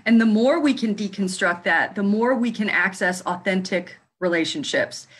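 A woman minister and author speaking earnestly in a panel discussion, pausing now and then to find her words. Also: mid-range voice, animated, slightly rough.

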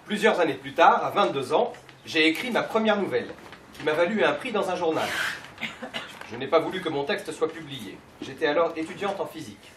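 Man reading aloud in a fairly high voice. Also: expressive, brisk, a bit bright, slight background noise. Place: bookshop, indoors. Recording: echoey shop from far off.

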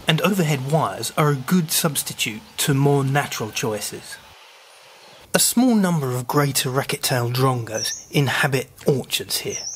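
A man narrating a scripted voice-over in a calm, informative manner. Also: mid-range voice, a little hiss or hum. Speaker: birding vlogger.

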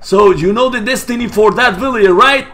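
A hoarse, Spanish-native man casting a live strategy game match, getting louder and repeating himself at big plays. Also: sits high, a little fast. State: hoarse, losing his voice. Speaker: esports caster. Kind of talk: excited live game commentary.

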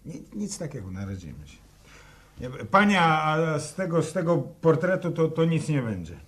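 An actor playing a stubborn, stingy farmer son in a 1960s radio serial, gruff and sarcastic, stumbling into repeated words. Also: male voice, on the high side, big pitch swings, normal speed, a bit bright. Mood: exasperated. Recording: old echoey radio recording, faint noise.

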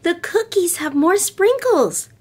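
A woman's voice: very high-pitched, slow, voice pushed up, exaggerated voice. A woman voicing an eager little girl from a picture book, reading her words expressively and carefully. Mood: excited. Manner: expressive, carefully enunciated read-aloud.

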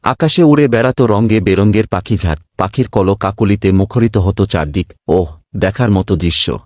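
A man's voice: on the low side, normal speed, noisy background, lightly acted. A man playing a kindly schoolteacher in a children's cartoon, patient and instructive as he speaks. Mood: storytelling. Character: kindly male schoolteacher telling pupils an animal fable.

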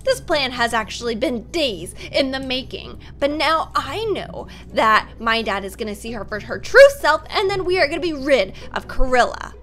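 A young woman hosting a game roleplay, bubbly and excitable as she plots. Her voice is very high and bright, bouncing up and down constantly. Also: normal speed, hardly any pauses, soft background music. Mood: scheming. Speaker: gaming channel host. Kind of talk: game roleplay and video outro.

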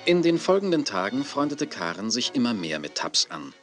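An actor narrating a children's audio drama as a storyteller, in scripted style. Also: male voice, mid-range voice, sing-song, normal speed, music in the background, natural voice. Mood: storytelling. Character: storyteller of a gentle children's tale.

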